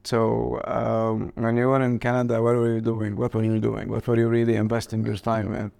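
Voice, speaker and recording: Male host, gravelly and clear, easygoing interviewer. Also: mid-range voice, monotone, normal speed, darkish tone. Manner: friendly, conversational questioning. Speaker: podcast host. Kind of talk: casual interview.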